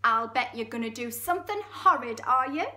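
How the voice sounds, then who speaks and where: A woman voicing a huge storybook hippopotamus with a Geordie accent, friendly but easily horrified, loud and scolding. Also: on the high side, expressive, moderate pace. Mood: suspicious, horrified.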